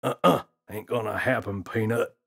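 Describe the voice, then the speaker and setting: A man's voice: lowish voice, moderate pace, creaky, fairly bright tone, husky, heavily acted. A man voicing a cocky, mischievous talking gorilla with a slangy, teasing, swaggering delivery. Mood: smug.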